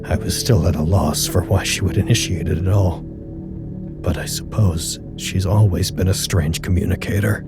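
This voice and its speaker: Weary grieving staffer, low mellow voice, subdued confessional log, halting delivery, music underneath. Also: male voice, steady tone. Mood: somber, grieving. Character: grieving, weary male facility staffer broadcasting a personal log. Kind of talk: audio drama monologue.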